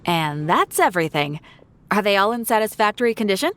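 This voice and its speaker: A woman's voice: medium pitch, very animated, fairly quick, fairly bright tone, a little hiss or hum. A woman playing a polite, businesslike female shopkeeper in a video game. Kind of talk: video game character dialogue.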